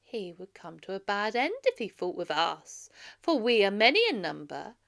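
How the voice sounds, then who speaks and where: An arrogant male suitor played by a woman reader scoffs and lays blame in a storybook tale. The manner is taunting and defiant, with a bright, forceful tone and swooping pitch. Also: big pitch swings, normal speed, gravelly. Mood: scornful.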